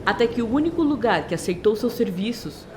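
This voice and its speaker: A woman's voice: a little high, expressive, a little fast, background noise. A woman narrator reading a scripted story in a dramatic storytelling style.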